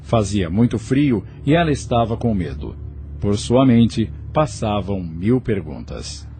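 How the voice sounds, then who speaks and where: A man's voice: lowish voice, big pitch swings, unhurried, dark tone, a little hiss or hum. A man narrating a scripted audio novel as a storyteller. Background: faint music.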